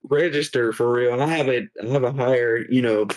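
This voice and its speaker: A man's voice: mid-range voice, steady tone, fairly quick, rough recording. A man in his twenties, a musician guest chatting casually on a podcast, laid-back and full of slang. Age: 20s. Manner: laid-back slang, says bro a lot.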